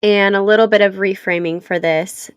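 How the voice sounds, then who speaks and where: A woman's voice: mid-range voice, steady tone, normal speed, smooth, dry recording, clean studio sound. A woman in her thirties hosting her own podcast, stuffy from a cold, talking in an earnest, encouraging way. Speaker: podcast host. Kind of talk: solo podcast talk.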